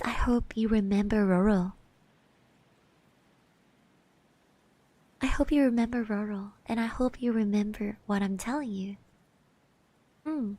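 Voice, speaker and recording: A woman playing a shy, caring schoolgirl classmate in a soft, partly whispered ASMR roleplay, speaking hesitantly and bashfully with gentle encouragement. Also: medium pitch, no room sound. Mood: tender, reassuring.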